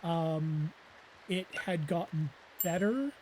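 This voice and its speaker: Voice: mid-range voice, animated, slow pace, darkish tone, slight background noise, rough recording. Rambling gamer with a weakened, strained voice. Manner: rambling, filler-heavy, self-deprecating. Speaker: let's play host. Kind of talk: casual gameplay commentary.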